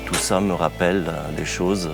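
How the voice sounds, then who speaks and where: A man from Bavaria, a brewer speaking in an interview in a slow, halting way with many pauses. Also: low voice, fairly even intonation, vocal fry. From Bavaria, Germany. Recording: music bed under the voice.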